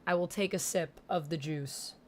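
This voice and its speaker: A woman's voice: lowish voice, moderate pace, darkish tone, a little hiss or hum. A woman hosting a livestream, chatting informally, thinking aloud and reading on-screen text. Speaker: livestream host and player.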